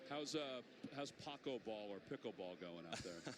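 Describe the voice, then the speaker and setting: A man's voice: fairly low pitch, animated, moderate pace. An American man calling a baseball game as play-by-play announcer and chatting with guests. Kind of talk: live baseball commentary and in-game interview. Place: outdoor baseball stadium. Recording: outdoor ballpark noise. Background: ballpark ambience.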